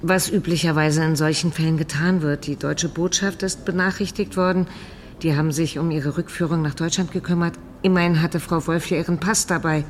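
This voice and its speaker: A woman's voice: sits low, fairly even intonation, a little fast, a little hiss or hum, close to an everyday voice. An actress playing a clinical, protective and firm female hospital doctor in a radio drama.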